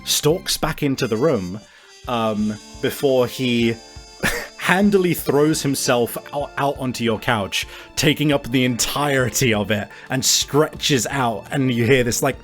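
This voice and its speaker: A man improvising tabletop game narration as game master, descriptive and animated, often halting to find his wording. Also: mid-range voice, soft background music.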